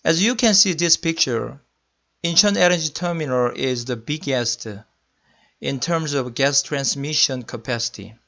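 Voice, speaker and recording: A man's voice: fairly high pitch, gravelly, a bit bright. Korean-accented man giving a technical presentation. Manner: careful, deliberate non-native phrasing. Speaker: senior electrical engineering manager. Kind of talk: slide presentation lecture. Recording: muffled lo-fi voice-over.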